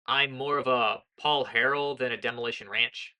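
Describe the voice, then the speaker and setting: A young American woman giving casual political commentary in a monotone voice, earnest and lecturing, often correcting herself mid-sentence. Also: a bass voice, moderate pace, poor audio. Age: young adult.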